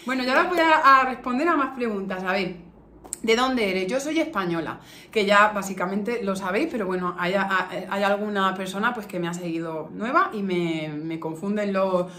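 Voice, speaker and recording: A woman's voice: mid-range voice, normal speed, pushed and bright. Echoey, chatty Spanish woman vlogger. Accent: Castilian Spanish from La Mancha. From Albacete, La Mancha, Spain. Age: early 30s. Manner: chatty, affectionate, emphatic with repetitions. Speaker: beauty vlogger. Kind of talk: casual chat to camera, answering viewer questions. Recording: echoey room with faint noise.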